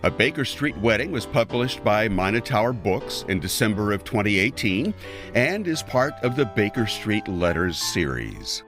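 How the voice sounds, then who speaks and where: A man with a fairly low voice delivers a scripted podcast introduction. His pitch moves in a lively way, and faint guitar music plays behind him. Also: normal speed. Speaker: podcast announcer.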